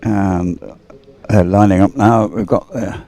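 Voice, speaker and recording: A man's voice: lowish voice, steady tone, takes it slowly, mellow. A man giving unscripted live sports commentary in a hesitant, rambling way, as if thinking aloud.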